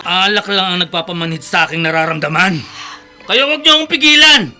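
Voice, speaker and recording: A drunken, self-pitying young Filipino man in a radio drama. His voice is very high and piercingly forceful, full of bitter defiance. Faint music plays behind him. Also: animated, brisk, full character voice. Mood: bitter, sullen. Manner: anguished, defiant, melodramatic.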